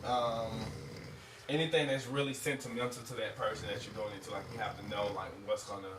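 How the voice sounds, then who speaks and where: A man's voice: lowish voice, little pitch movement, fairly quick. A man with a Florida accent chatting casually in a group, often mimicking other people's voices when he tells a story. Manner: chatty, imitates voices when telling stories. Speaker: reality show contestant. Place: shared house indoors. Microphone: ceiling surveillance mic. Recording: echoey room, distant mic, background noise.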